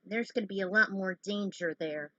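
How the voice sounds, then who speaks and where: A woman's voice: medium pitch, normal speed, a bit bright, no echo. A woman narrating her fan fiction in an informal read-aloud style with casual asides. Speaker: fan fiction reader and host. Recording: dull lo-fi close recording.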